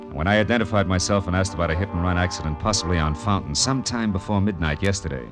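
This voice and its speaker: A low-voiced American private eye played by a male actor, tough and world-weary. He talks in clipped, deadpan lines, narrating in wry first person like classic 1940s radio noir. Music plays behind him on an old radio drama recording. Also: quick pace, natural voice. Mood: terse, businesslike. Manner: clipped, hard-boiled, deadpan. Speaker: lead actor and narrator of a detective serial.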